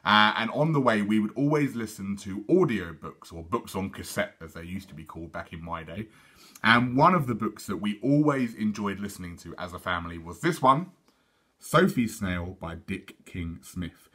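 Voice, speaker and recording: A British man reads a children's story aloud like a teacher addressing a class. His pitch is animated and varied. The tone is bright and forceful, with a husky edge. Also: normal speed. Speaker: teacher reading aloud to schoolchildren.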